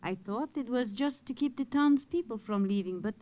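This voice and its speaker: An actress playing a gentle, motherly French village widow in a radio drama, speaking with a French accent. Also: on the high side, normal speed, highs cut off, natural voice. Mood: thoughtful, helpful. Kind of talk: 1950s radio drama acting. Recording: hissy old radio recording.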